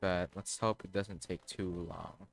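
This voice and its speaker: A man giving casual, halting livestream commentary on a game, rambling and often trailing off mid-thought. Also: deep voice, level tone, gravelly. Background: background music.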